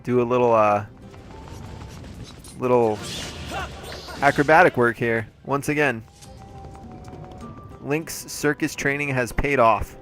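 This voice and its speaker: Male let's play host, mid-pitched, congested and playful, over soft game music. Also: takes it slowly, pauses often. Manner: playful, chatty, jokey asides. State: congested, throat a little off. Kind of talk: casual gameplay commentary.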